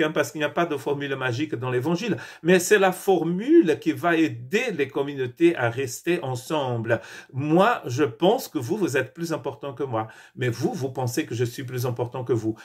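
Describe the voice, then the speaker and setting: Husky preacher, man. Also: on the high side. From Portuguese-speaking country. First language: Portuguese. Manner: earnest, with frequent restarts and hesitations. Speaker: pastor. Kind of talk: daily devotional talk.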